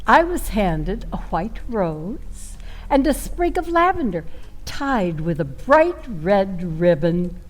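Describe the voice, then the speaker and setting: A woman playing a flirty, much-married storyteller in a comic stage monologue, with a theatrical, drawn-out delivery. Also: mid-range voice, sing-song, poor audio. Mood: dreamy, flirty. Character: Violet Van Zandt, flirty much-married woman storyteller, dreamy and tipsy-witted. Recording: live theater performance with faint music.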